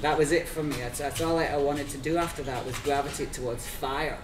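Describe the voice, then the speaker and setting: A man's voice: a little high, fairly even intonation, a little fast. A man from Scotland, a fire performer, talking casually and animatedly with lots of fillers in an interview. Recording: echoey room from far off with crowd noise.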